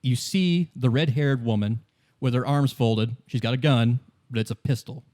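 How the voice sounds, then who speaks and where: A man running a tabletop game, narrating scenes in a casual, halting, conversational way. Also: medium pitch, dark tone. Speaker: game master.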